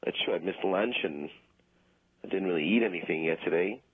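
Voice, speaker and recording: A man playing a meek, anxious amateur ventriloquist in deadpan radio comedy, hesitant and apologetic. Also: sits low, brisk, slightly rough, no room sound. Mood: sheepish. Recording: muffled radio sound, music behind.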